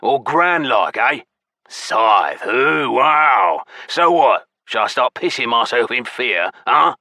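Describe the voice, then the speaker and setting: A man voicing a foul-mouthed, mocking goblin thief with a deep, hoarse growl and a coarse, slangy drawl. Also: sing-song, slow pace, sharp tone, no echo, clean studio sound, heavily acted. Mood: prickly, mocking.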